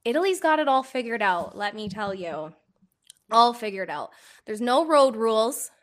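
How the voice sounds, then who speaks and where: A woman hosting a casual chat podcast, her voice hoarse and a little phlegmy from a cold, bubbly and quick to laugh. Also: on the high side, big pitch swings. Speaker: podcast host.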